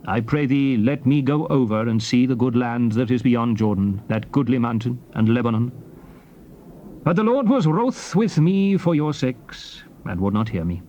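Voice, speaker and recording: A man's voice: medium pitch, expressive, normal speed, dark tone, highs cut off, lightly acted. An actor playing a solemn prophet and leader exhorting his people, declaiming archaic scripture in a formal, classical stage style. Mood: pleading, solemn. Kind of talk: dramatized scripture reading, classical stage delivery. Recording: noisy old cassette tape recording.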